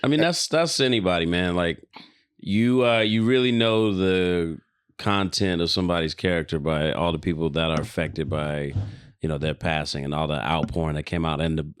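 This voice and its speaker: A man's voice: sits low, moderate pace, clear, resonant tone, no echo, clean studio sound. An American man chatting casually as a podcast co-host in an informal, conversational style. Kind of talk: casual chat.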